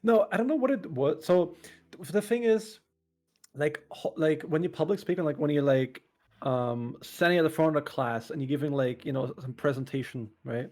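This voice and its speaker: A man's voice: on the high side, moderate pace, a little mellow. A man chatting casually on a group podcast, rambling with lots of fillers and laughter. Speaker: podcast co-host, VTuber.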